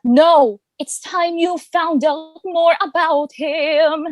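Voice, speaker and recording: A woman's voice: very high-pitched, takes it slowly, a little mellow, no room sound, soft background music. A woman playing a loving, protective mother in a musical theatre table read, encouraging her son in speech and song. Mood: earnest, resigned.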